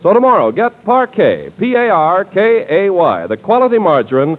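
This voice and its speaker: Animated 1940s radio announcer. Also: male voice, sits high, moderate pace. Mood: upbeat, polished. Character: cheery radio announcer, salesman and storyteller. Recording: tinny, band-limited 1940s radio broadcast.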